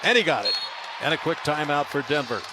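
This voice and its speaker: A man's voice: a little high, lively intonation, fairly quick. A man calling live basketball play-by-play on the radio, emphatically announcing scores and plays. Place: large indoor basketball arena. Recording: noisy arena broadcast. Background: loud arena noise, bouncing basketball.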